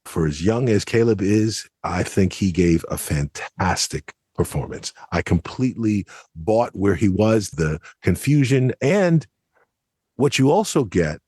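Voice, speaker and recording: Low, husky American man, dry sound. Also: expressive, measured pace, a little mellow, no room sound. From United States. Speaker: podcast commentator. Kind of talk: conversational analysis.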